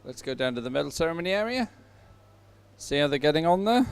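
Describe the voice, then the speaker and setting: A man giving live sports commentary in a chatty, somewhat halting announcing style. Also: a little high, big pitch swings, clean tone.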